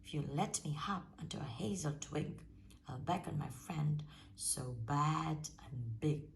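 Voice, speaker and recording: A woman voicing a small, clever male mouse in a storybook, coaxing and quick-witted. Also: low-pitched, gravelly, slight background noise.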